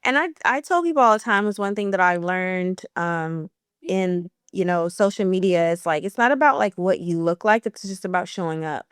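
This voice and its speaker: A woman in her thirties with a mid-pitched, smooth voice and a light stutter. She talks in an encouraging, filler-heavy way, recorded dry and close to the mic. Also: moderate pace, no echo. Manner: warm encouraging, self-described stutterer, many fillers. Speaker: esthetician. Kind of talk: casual podcast conversation.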